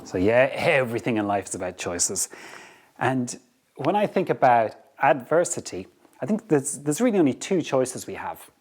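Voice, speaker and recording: An Irish man speaks in a steady middle register, delivering a motivational talk on leadership. He is earnest and repeats words as he thinks aloud, often pausing to check in with the listener. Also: mid-range voice. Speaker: podcast host.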